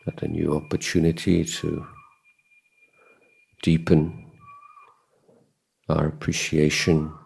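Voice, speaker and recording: A man giving a guided meditation talk as a Buddhist teacher, contemplative and measured, pausing often between short phrases. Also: rumbling low, monotone, vocal fry, a little mellow, slightly rough.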